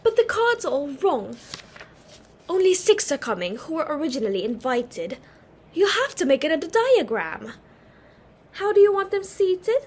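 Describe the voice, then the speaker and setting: A woman acts out a young society daughter in a stage comedy, high-voiced and very animated in pitch. She is flustered and apologetic, anxious and pleading as she talks. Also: normal speed. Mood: subdued, helpful. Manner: anxious, pleading, self-deprecating. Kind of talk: dramatic reading of a stage comedy.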